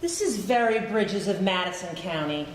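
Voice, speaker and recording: An actress playing a friendly, curious young movie fan, casual and sympathetic, in a live stage play. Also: female voice, mid-range voice, animated, normal speed, close to an everyday voice. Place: stage of a theater, live performance. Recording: echoey hall, faint noise.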